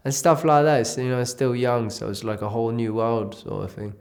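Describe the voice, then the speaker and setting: A man's voice: medium pitch, steady tone, fairly quick, darkish tone. A man from Ethiopia giving a reflective personal testimony in an interview, telling his life story in a loose, conversational way.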